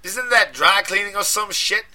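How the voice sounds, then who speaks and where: A man voicing a scared, frantic young man who has just been jailed, cursing and shouting. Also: high-pitched, normal speed, voice pushed up. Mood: panicked. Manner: loud, profane, panicky shouting.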